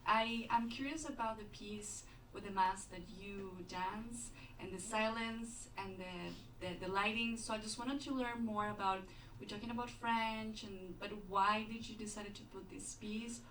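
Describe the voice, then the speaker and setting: A woman's voice: medium pitch, steady tone, measured pace, few pauses, a bit bright, slight background noise. Curious audience woman in an echoey room. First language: Spanish. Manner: curious, polite questioning. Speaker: audience member. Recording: echoey, distant mic.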